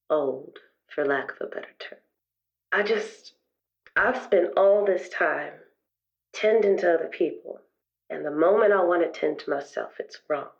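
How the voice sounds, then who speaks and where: Woman acting as middle-aged therapist, low voice, gentle but weary, halting, quietly bitter, improvised roleplay, slightly muffled recording. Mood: weary, hurt. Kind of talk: improvised in-character roleplay for an actual play podcast.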